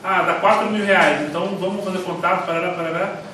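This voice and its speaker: A man with a Brazilian Portuguese accent, telling stories in a rambling, animated way while teaching a church class. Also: high-pitched, fast talker. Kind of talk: informal church class talk. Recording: echoey hall, mic far off, faint noise.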